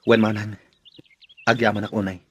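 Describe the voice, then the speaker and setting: Man acting a husky, earnest young fighter. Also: fairly low pitch, flat delivery, moderate pace, no room sound. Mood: respectful, grateful. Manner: deferential, earnest. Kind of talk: radio drama acting.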